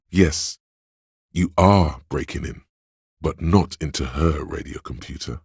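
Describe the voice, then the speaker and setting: Brooding, wry inner-monologue game narrator; rumbling bass, heavy gravel, deliberate phrasing, dry close sound. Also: male voice, darkish tone, dry recording, heavily acted. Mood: wry, pleased. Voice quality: gravelly, husky, very deep. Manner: measured, deliberate, literary second-person narration.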